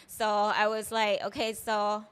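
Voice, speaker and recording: Smooth-voiced Thai woman chatting. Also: mid-range voice, completely flat delivery, a little slow, fairly bright tone. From Thailand. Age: 30s. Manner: chatty and loose, full of "you know" and "like". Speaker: chef and guest. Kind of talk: casual interview.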